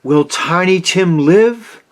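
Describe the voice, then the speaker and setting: Old storybook miser, acted by a man, emotional, exclamatory, raised pitch, swooping intonation. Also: expressive, slow. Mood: anguished. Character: old male miser, shaken and remorseful, turning joyful.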